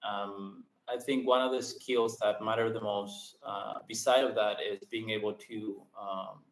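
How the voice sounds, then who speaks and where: A man from Argentina, a guest on a podcast, speaking in quick bursts with frequent ums and restarts. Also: on the low side, fairly even intonation. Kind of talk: casual interview conversation. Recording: roomy echo.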